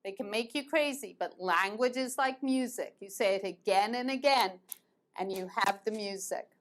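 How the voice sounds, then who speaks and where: A woman's voice: medium pitch, moderate pace. A woman teaching an online English grammar lesson, patiently repeating verb forms. She grew up between the United States and England.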